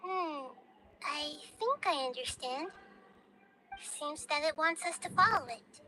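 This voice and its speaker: A woman voicing a gentle, wise, childlike young girl deity in a video game, soft-spoken, measured and thoughtful. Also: high-pitched, lively intonation, background noise. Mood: calm, compassionate. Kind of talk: video game character acting.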